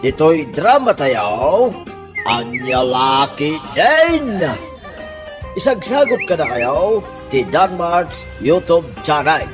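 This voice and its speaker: A man's voice: a little high, sing-song, slow, over music. A man with an Ilocano accent announcing the close of a radio drama. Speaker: programme announcer.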